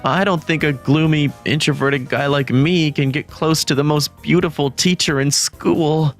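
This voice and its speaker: A man's voice: a little high, fairly even intonation, moderate pace, lightly acted. A man voicing a gloomy, introverted, easily panicked teenage schoolboy who narrates a manga story in a self-deprecating, dramatic way. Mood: lovestruck, glum. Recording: music bed under the voice. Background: music.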